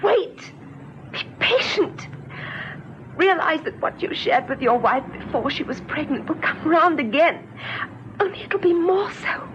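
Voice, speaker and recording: High-voiced despairing young woman, old radio drama. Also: lively intonation, a little slow. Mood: earnest. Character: despairing, bitter young mother on a ledge, gentle, wistful. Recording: dull, faintly noisy old radio recording.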